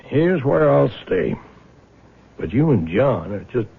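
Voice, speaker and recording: An actor in a 1970s radio drama playing a frank, folksy older father and small-town prosecutor with a gravelly voice. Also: medium pitch, sing-song, slow pace, phone-quality sound, exaggerated voice. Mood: reflective. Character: plain-spoken small-town prosecutor, fond protective old father. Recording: band-limited vintage radio broadcast.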